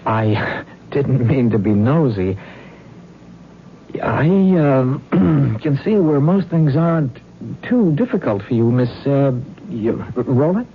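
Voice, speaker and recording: A man's voice: mid-range voice, animated, slow pace. An actor playing an earnest, polite young man who stammers hesitantly, in old-time radio drama style. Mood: awkward. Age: young adult. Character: earnest, flustered young fiancé investigating strange deaths. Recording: narrow, noisy old radio recording.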